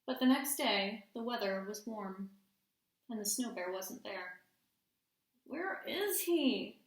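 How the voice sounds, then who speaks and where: A woman teacher reading a storybook aloud to children, expressively, pausing to put questions to them. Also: medium pitch. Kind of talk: storybook read-aloud with class discussion. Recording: echoey room.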